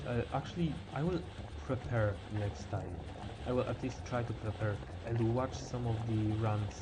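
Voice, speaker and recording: A teenage male game streamer thinking aloud in casual live chat, halting and stumbling over words as he goes. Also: on the low side. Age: teens.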